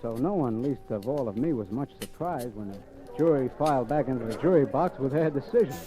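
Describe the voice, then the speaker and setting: An actor playing a cocky, cynical drifter in 1940s radio style, with slangy, offhand wisecracks. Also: male voice, mid-range voice, animated, a little fast, lightly acted, rough recording. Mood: smug. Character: cocky, cynical drifter man accused of murder. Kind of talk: 1940s radio acting, first-person narration and dialogue. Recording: old radio recording with music behind.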